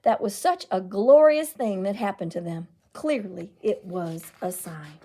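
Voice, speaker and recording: A woman reading a picture book aloud as a storyteller, with expressive, emphatic delivery. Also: medium pitch, unhurried. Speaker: children's librarian storyteller.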